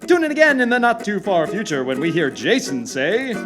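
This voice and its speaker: A man's voice: high voice, huge pitch swings, a little fast, over loud music. A man playing a grandly dramatic old-time radio announcer, reading scripted narration with theatrical weight. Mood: breathless, dramatic.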